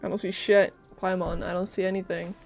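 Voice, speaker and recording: A woman's voice: fairly low pitch, level tone, brisk, vocal fry, darkish tone. A woman live-streaming a game with casual, chatty and playful commentary. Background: faint game music.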